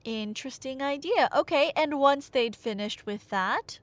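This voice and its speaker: A woman's voice: high-pitched, unhurried, dry recording. A woman playing a nerdy, chatty, playfully bossy girlfriend who rambles through myths in a conversational ASMR roleplay. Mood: playfully indignant. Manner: rambling, animated, tangent-prone, mock-indignant.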